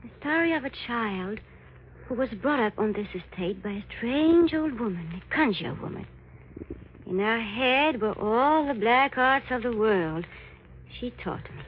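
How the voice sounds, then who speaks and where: A woman's voice: on the high side, expressive, unhurried, slight background noise. An actress playing a sinister, seductive conjure woman with a commanding, taunting manner in a 1940s radio thriller. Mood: gloating. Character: sinister seductive conjure-woman villainess, menacing and coolly amused. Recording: dull old-time radio recording.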